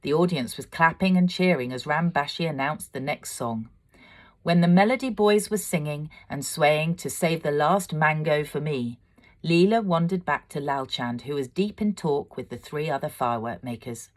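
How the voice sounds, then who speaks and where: Low-voiced woman reading a children's story. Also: moderate pace. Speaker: amateur storybook reader. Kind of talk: scripted narration of a children's story, read aloud.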